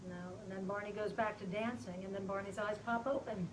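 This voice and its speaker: A woman's voice: medium pitch, steady tone, a little fast. Woman reading stage directions, slightly distant. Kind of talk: scripted narration at a live table read. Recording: noisy room, off the mic.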